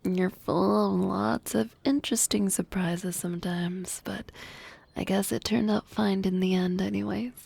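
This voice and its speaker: A woman voicing a young harpy classmate in love in an ASMR roleplay, soft and often whispering, hesitant and teasing. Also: mid-range voice, fairly even intonation, measured pace, gravelly, husky, no room sound, heavily acted. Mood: tender, teasing.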